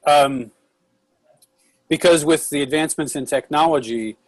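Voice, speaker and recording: A man's voice: a little high, measured pace, no room sound. A man giving casual feedback in a discussion after a play reading.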